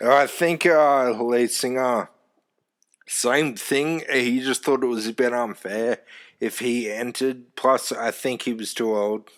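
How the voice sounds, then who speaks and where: A man with a deep, loud voice, telling animated stories in casual chat and swearing casually. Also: slow pace. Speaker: former youth music event host.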